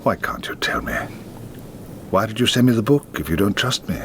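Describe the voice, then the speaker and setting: A man's voice: on the low side, lively intonation, normal speed, a bit bright, a little hiss or hum. An actor playing a wry, self-mocking, worldly man in a film drama who asks his questions in a dry, ironic way. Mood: probing.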